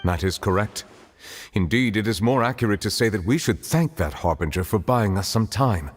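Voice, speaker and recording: A low-voiced, solemn man of high office played by an actor, formal and measured in every phrase. It is video game drama, with a long reverberant tail and faint noise. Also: lively intonation, soft background music, natural voice. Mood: somber. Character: solemn, dignified, formal male judge, calm and grave.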